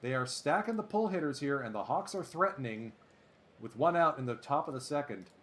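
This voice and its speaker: Lively, chatty man calling game play. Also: medium pitch, brisk. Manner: excitable, chatty, drifts into asides. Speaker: let's play host. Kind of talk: play-by-play commentary on a baseball sim game.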